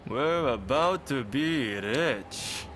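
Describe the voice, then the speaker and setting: An actor playing a greedy, gloating bandit in a video game. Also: male voice, mid-range voice, expressive, drawn-out words.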